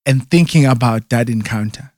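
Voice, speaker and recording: A man's voice: mid-range voice, normal speed, a little mellow, no room sound, studio quality. A man in casual chat who rambles, restarts often and keeps checking in with "right?". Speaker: conversation partner.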